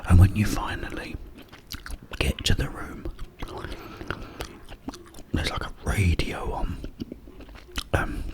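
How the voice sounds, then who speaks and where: A man whispering up close in an unhurried ASMR ramble, with breathy delivery and hesitant pauses. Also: sits low, lively intonation. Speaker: ASMR host. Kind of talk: ASMR whispered ramble about a video game. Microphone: close-up microphone.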